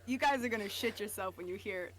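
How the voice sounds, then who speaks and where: A woman's voice: mid-range voice, fast talker. A woman co-hosting a casual livestream, chatting in a joking, giggly, profane way. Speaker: stream co-host.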